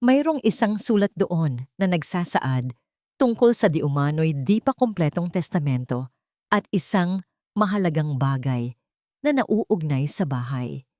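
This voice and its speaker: A woman's voice: low-pitched, expressive, a little slow, dark tone, dry recording, studio quality. A woman narrating a scripted dramatic family story as a storyteller.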